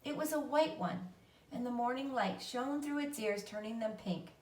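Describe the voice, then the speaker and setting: A woman's voice: on the high side, normal speed, a little mellow. A woman narrating a children's story aloud like a teacher reading to a class. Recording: roomy echo, distant mic.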